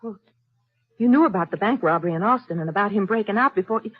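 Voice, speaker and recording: An actress as a young western wife, anxious and pleading. Her voice is earnest and urgent, halting as she goes, in old-time radio style. The sound is close and dry but dulled by age. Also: medium pitch, no echo, natural voice. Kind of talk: 1950s radio drama acting. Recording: dull vintage radio recording.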